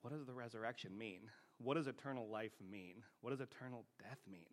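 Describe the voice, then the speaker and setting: A man's voice: medium pitch, brisk. A man preaching a teaching sermon in a plain-spoken, conversational way, often checking in with rhetorical questions.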